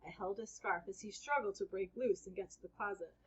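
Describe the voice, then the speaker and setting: A woman narrates a children's story with a husky edge to her mid-pitched voice. She reads expressively, acting out the dialogue. The recording is slightly dull and lo-fi. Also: brisk. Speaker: read-aloud storyteller. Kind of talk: children's book read aloud, first-person narration.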